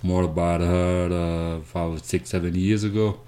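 A man from South Sudan telling his life story as an interview guest, speaking haltingly with many fillers and restarts. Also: sits low, fairly even intonation, dark tone. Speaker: guest, nonprofit founder.

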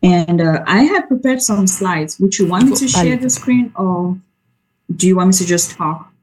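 A soft-spoken woman with a Cameroonian accent, an academic guest speaking in a remote radio interview. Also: mid-range voice, lively intonation, moderate pace, low-quality recording. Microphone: video call. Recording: remote call with faint noise.

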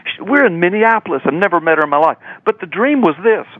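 Older male radio guest, slightly high voice, emphatic repeating storyteller, muffled dry line. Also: lively intonation, fast, no room sound. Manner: emphatic, repetitive, dramatic storyteller. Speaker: radio guest, preacher and author. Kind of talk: animated personal storytelling in an interview.

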